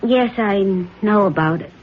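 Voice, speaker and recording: An actress in a 1970s radio drama playing an anguished but determined wife, speaking with earnest dramatic intensity. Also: female voice, medium pitch, unhurried, lightly acted. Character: anguished, determined wife who suspects her husband. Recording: dull, noisy 1970s radio recording.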